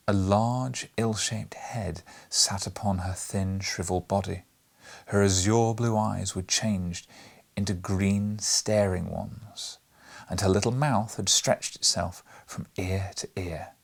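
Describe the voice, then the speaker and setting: Male narrator, lowish pitch, husky, measured, dramatic storybook reading. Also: steady tone. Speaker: audiobook narrator.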